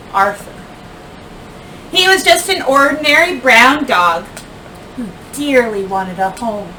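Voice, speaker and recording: Slow, high-pitched woman reading a storybook. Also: pushed and bright. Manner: deliberate storyteller phrasing with dramatic pauses and emphasis. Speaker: teacher reading a picture book aloud. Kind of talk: expressive children's storybook read-aloud. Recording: distant mic, faint background noise.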